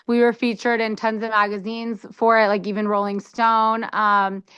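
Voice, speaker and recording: A young woman from the United States talks casually in an interview. Her voice is smooth and clear, and her chat is eager and full of little fillers. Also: a little high, level tone, moderate pace, fairly bright tone, lo-fi sound. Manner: enthusiastic, chatty with many fillers. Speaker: interview guest.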